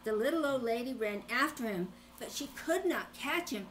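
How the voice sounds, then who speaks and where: An older woman, a grandmother, reading a children's story aloud in an animated style. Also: fairly high pitch, moderate pace, slight background noise, low-quality recording.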